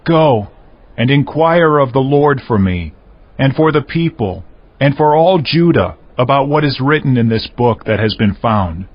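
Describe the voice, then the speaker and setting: The sound is slightly dull and lo-fi. an ancient king of mid-range voice, issuing orders with earnest, deliberate authority, as in a dramatized scripture reading. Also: mid-range voice, animated. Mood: grave, urgent.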